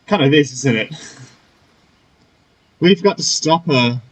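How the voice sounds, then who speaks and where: Casual husky-voiced male gaming streamer. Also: a little high, lively intonation, very slow, poor audio. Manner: informal, slangy, muttering reactions. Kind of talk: casual let's-play commentary.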